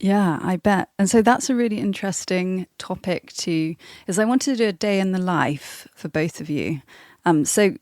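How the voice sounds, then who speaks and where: Lower-voiced woman hosting, dry close sound. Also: moderate pace, darkish tone, no room sound. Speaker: podcast host. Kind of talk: casual interview questioning.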